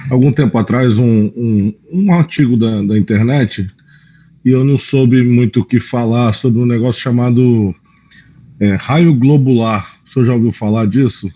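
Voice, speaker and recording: A man's voice: mid-range voice, normal speed, warm tone. A Brazilian man politely putting a question to a lecturer from the audience, as a teacher.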